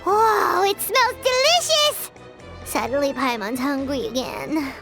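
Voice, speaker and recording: A woman voicing a small, excitable childlike fairy companion in a video game, squeaky and exclamatory. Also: slow, gravelly, music in the background, heavily acted. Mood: excited. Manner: exclamatory, chatty, refers to herself by name.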